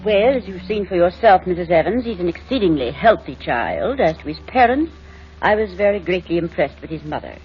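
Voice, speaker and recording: An actress playing a proper, sympathetic adoption home matron with formal phrasing in an old-time radio drama. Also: female voice, on the low side, big pitch swings, fairly quick, faint music behind. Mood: frank, sympathetic. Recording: muffled, noisy old radio recording.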